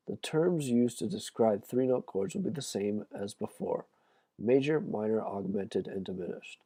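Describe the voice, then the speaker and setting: Guitar teacher, male, croaky and low, laid-back explainer, close dry sound. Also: mellow, no echo. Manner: casual, explaining with frequent "okay?" checks. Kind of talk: livestreamed music theory lesson.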